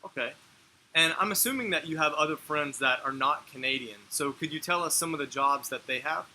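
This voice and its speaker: A man's voice: a little high, brisk, sharp tone, slight background noise, poor audio. A man hosting an interview, asking short questions and giving brief acknowledgements. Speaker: interviewer, channel host.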